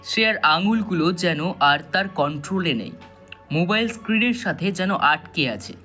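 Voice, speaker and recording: A man's voice: high voice, expressive, moderate pace, sharp tone. A man narrating a scripted thriller story in a dramatic, suspenseful storytelling manner. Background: background music.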